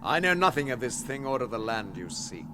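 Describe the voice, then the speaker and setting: An actor playing a cunning, haughty wizard in a radio fantasy drama, with grandiose, silky, theatrical delivery. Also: male voice, mid-range voice, big pitch swings, brisk, background noise, close to an everyday voice. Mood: sly, haughty.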